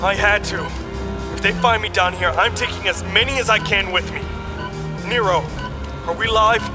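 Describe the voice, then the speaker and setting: A man's voice: high voice, normal speed, over music, close to an everyday voice. A man playing a defiant, reckless rebel field agent with an urgent, intense delivery in a sci-fi radio drama.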